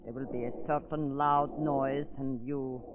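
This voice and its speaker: An actress playing a cold, imperious villainess giving clipped, mock-polite commands in an old radio drama. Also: female voice, very deep voice, fairly even intonation, a little slow. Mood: cold, commanding. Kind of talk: 1930s radio drama acting. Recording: noisy dull vintage radio recording.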